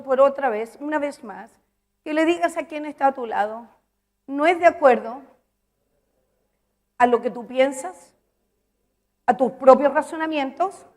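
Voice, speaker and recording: Woman preacher with a high voice, emphatic and repetitive, asks the congregation questions. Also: a little mellow. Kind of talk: sermon.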